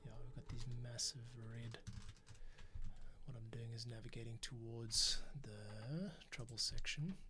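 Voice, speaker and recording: A man's voice: mid-range voice, fairly even intonation, unhurried. Male livestream host, composer type, casual and explanatory, talking through his process with prominent music behind him. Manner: thinking aloud, explanatory. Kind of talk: casual livestream commentary while working. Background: music playback.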